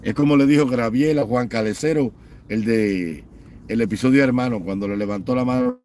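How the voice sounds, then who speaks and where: A man's voice: mid-range voice, fairly quick, a little mellow. A man giving an impassioned political rant, piling up scornful lists and mocking. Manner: fiery, repetitive listing, mocking. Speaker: political commentator.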